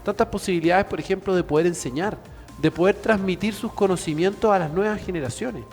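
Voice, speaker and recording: A man's voice: high-pitched, brisk, in a large hall, soft background music. A man talking earnestly on a TV show, speechlike and full of fillers. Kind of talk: earnest studio talk.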